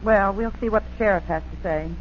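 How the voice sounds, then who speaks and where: A woman playing a brisk, plain-spoken farm wife with folksy country talk in a 1940s radio drama. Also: mid-range voice, close to an everyday voice. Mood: weary, suspicious. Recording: dull, hissy 1940s radio broadcast.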